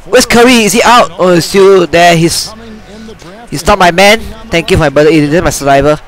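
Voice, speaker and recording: A young man with a high, excitable voice yells, laughs and trash-talks his way through a basketball video game. He talks close into a headset mic in a small room, jumping between chatter and sudden shouts. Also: normal speed. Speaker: gamer. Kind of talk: excited gameplay commentary.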